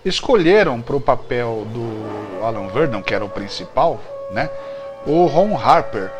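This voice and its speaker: Low-pitched Brazilian man telling stories, faint music behind. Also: animated, measured pace. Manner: repeats words and phrases, often checks with "né". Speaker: guest writer telling behind-the-scenes history of a TV series. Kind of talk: animated casual interview storytelling.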